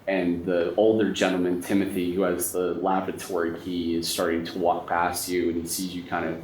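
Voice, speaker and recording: A man's voice: deep voice, little pitch movement, brisk. A man acting as game master, narrating a tabletop horror game in an informal, descriptive way. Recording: roomy echo, background noise.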